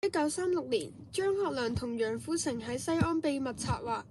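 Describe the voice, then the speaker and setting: A woman's voice: high-pitched, animated, normal speed, noisy background. A woman giving scripted narration for a radio drama.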